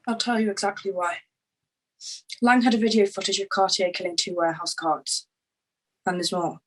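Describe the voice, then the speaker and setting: A woman acting a shaken, grieving young crime witness in a screenplay table read, with halting delivery. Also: mid-range voice, fairly even intonation, natural voice, rough recording. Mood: urgent, pleading.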